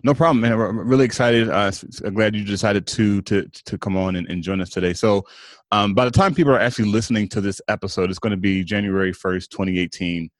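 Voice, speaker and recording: A man with a somewhat low voice hosts a podcast interview, stumbling through restarts and fillers as he introduces his guest. The sound is close and dry. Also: quick pace, no room sound. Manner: hesitant, many fillers and restarts. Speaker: podcast host.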